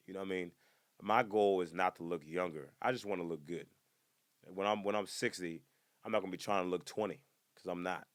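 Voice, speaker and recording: A man in his twenties doing a casual comedic podcast monologue, animated and breaking into loud high-pitched bursts when he acts out other people. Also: dry recording. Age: 20s.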